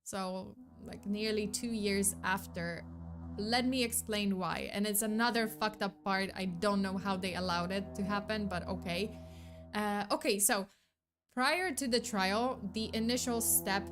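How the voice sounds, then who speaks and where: A woman's voice: medium pitch, measured pace. A young woman hosting a true crime stream, talking casually with lots of fillers and restarts and swearing now and then, her voice worn as she loses it. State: losing her voice. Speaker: true crime vtuber host. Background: background music.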